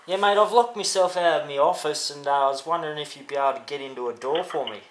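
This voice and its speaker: A man's voice: a little high, fast talker, fairly bright tone. An Australian man chatting casually on a phone call, matey and rambling in his manner. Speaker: prank caller. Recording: roomy, faintly noisy, echoing phone-call recording.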